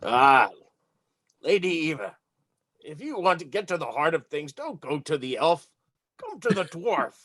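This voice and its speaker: A man playing a proud, gallant dwarf adventurer who speaks in a formal, deliberate, courtly way at a tabletop game. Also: fairly high pitch, big pitch swings, a bit bright, slightly rough, dry recording, clean studio sound, full character voice. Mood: proud, boastful.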